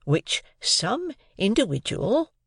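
A reader voicing a mild, apologetic man who hints politely in slow, halting words in an audiobook. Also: mid-range voice, sing-song, fairly bright tone, husky, dry recording, clean studio sound, voice pushed up, heavily acted. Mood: hesitant. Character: meek, mild-mannered man, hesitant and apologetic. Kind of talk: audiobook character voice.

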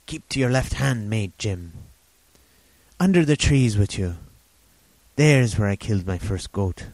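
Guy reading as a cracked old castaway sailor, sly and rambly, lowish voice, dry close sound. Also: expressive, a little slow, a little mellow, dry recording, poor audio. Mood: rambling. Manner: rambling, sly, confiding, with rustic sailor grammar. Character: half-wild marooned old sailor, rambling, excitable. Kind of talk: audiobook character voice.

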